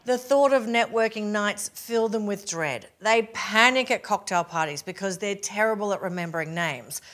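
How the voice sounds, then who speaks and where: A woman in her forties with an Australian accent, giving a polished, upbeat scripted pitch straight to camera from a living room set. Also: moderate pace, a bit bright. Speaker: presenter promoting her keynote talks.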